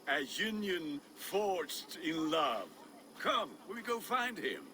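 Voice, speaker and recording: Hearty Viking blacksmith, wildly expressive. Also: male voice, a little high, slow pace, heavily acted. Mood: cheerful, eager. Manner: boisterous, playful, expressive. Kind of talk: dramatic video game acting. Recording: noisy outdoor ambience behind voice.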